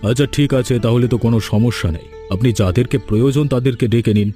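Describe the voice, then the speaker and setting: Guy doing a fussy village father-in-law in a cartoon, talks fast, mellow voice, irritable and easily swayed. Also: medium pitch, soft background music, lightly acted. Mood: polite, reassuring. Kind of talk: animated cartoon story dialogue.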